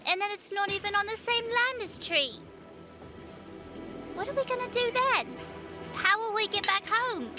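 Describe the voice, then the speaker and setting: A woman's voice: very high-pitched, normal speed, pauses often, over music. A woman playing a spirited, blunt and talkative young child in an audio adventure drama. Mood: worried, blunt. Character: spirited, chatty young child on a pirate ship.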